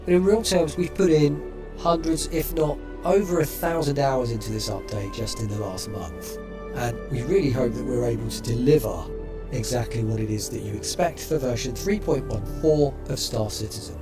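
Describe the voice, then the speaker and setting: A man's voice: medium pitch, music in the background. A man hosting a product demo, presenting the update and speaking voice commands. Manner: brisk, promotional, issuing commands. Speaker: host and product developer.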